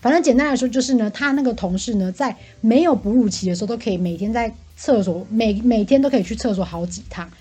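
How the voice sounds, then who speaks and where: A young woman with a Taiwanese accent chatting casually and comically as a podcast co-host, telling stories in an animated way and acting out quotes. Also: on the high side, quick pace, hardly any pauses, slightly rough. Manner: animated storytelling with acted-out quotes. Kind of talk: casual comic chat, venting about coworkers. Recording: faint music behind. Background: faint background music.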